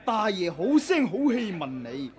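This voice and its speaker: A hot-tempered martial-arts bruiser with a Hong Kong Cantonese accent, snorting and barking threats. His voice is pitched high and lurches up and down. He sounds like a character from a vintage radio play. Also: very animated, moderate pace. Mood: bullying. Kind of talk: 1980s radio drama acting.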